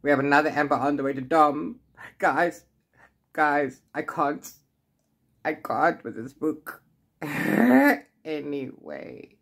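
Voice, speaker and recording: A man in his forties giving a casual, unscripted book chat, rambling in an animated way with exasperated asides. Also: a little high, slow, creaky. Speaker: book reviewer vlogger. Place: small room at home with bookshelves.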